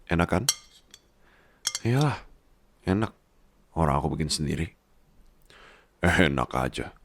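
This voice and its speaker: Casting: male actor as nagging yet tender boss husband, extremely low gravelly voice, intimate ASMR roleplay. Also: expressive, a little slow, a bit bright, heavily acted. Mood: playful bossy. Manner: teasing, nagging, tender, slips into English. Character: bossy, scolding but doting big-baby boss husband.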